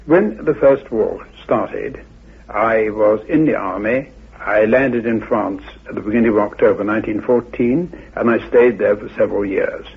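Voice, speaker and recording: Gravelly man in his eighties, muffled recording. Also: medium pitch. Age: 80s. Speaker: interviewed scholar of mythology. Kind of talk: interview, telling life story. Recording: narrow muffled old radio recording.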